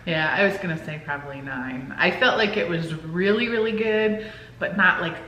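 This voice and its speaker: Bright middle-aged woman, casual chat. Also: fairly low pitch, normal speed, highs cut off. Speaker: movie reviewer, co-host. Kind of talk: casual movie review chat. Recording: echoey room with faint noise.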